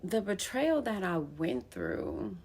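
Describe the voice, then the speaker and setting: Animated woman with a gravelly voice. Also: on the low side, slow. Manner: emphatic, casual slang, animated. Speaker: poet and storyteller. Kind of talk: candid personal storytelling.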